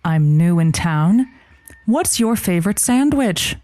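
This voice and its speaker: A patient, soothing advice host on a parody radio call-in show. Her voice is mellow and unhurried, with lilting, expressive pitch. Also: no room sound. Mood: encouraging.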